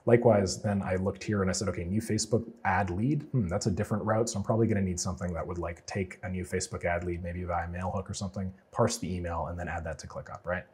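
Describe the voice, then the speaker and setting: A man with a low voice that sounds raspy from a lingering cold. He talks briskly and casually as he explains a software build step by step on screen. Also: steady tone. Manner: casual, explanatory, loose with fillers. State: getting over a cold. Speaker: automation freelancer teaching a client build. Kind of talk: screen-share tutorial walkthrough.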